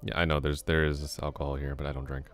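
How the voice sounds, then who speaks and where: A man playing a laid-back flirty classmate, very deep. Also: level tone, fast talker, a bit bright. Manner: casual, easygoing, chuckles often. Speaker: ASMR roleplay voice actor. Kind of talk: ASMR roleplay, soft close talk.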